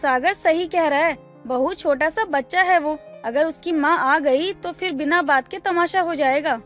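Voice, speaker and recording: A woman playing an older, peacemaking mother-in-law, placating yet commanding, in an animated family story. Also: high-pitched, unhurried, faint music behind. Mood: firm, placating. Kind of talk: animated family drama dialogue.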